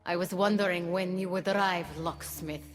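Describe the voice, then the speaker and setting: An actress voicing a sly, sardonic, scheming goddess in a mythic video game. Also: female voice, on the low side, fairly even intonation, normal speed, lightly acted. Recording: long reverb, faint music behind.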